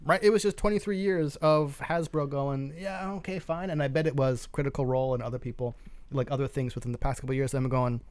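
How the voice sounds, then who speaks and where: Male co-host, medium pitch, skeptical and blunt, casual opinion commentary, very dry sound. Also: fairly even intonation, quick pace, darkish tone, no echo. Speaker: co-host of a tabletop gaming news show.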